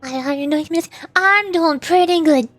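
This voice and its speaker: A woman's voice: sits high, normal speed, fairly bright tone, dry recording, faint music behind. A woman livestreamer chatting casually over a game in a bubbly, rambling, giggly way. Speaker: livestreamer, VTuber.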